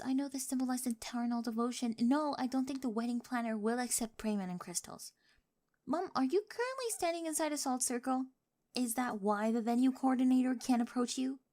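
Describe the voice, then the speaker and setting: A woman voicing a quirky, obsessive young bride in a soft ASMR roleplay, precise and analytical, often whispering. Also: a little high, fairly quick, dry recording, close to an everyday voice. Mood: exasperated. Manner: precise, analytical, jargon-heavy speech. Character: quirky, obsessive, nerdy young bride devoted to her partner. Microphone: close, dry microphone.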